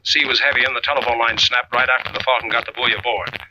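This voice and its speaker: A man's voice: medium pitch, steady tone, fast talker, natural voice. A man acting a firm, authoritative American navy captain in an old-time radio drama. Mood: grave, patient. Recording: faintly noisy old radio recording.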